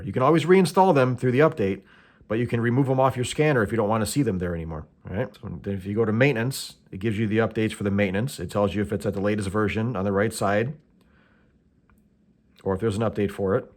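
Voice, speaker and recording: A man's voice: fairly low pitch, fast talker. An American man hosting a casual product walkthrough, explaining step by step in a plain-spoken, matter-of-fact way. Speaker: host of tool review and how-to videos.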